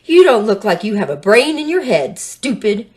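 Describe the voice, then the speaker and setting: A woman's voice: mid-range voice, very animated, normal speed, natural voice. A woman voicing a sly, scornful serpent who coaxes and taunts in a children's story. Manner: taunting, coaxing.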